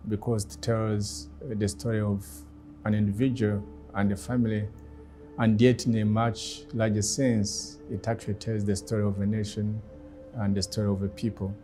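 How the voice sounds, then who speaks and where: A middle-aged man with a Rwandan accent, a native Kinyarwanda speaker, talking reflectively in a deliberate, measured way with pauses. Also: on the low side, flat delivery, mellow, faint music behind. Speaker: guest speaker, former ambassador. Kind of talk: reflective personal talk.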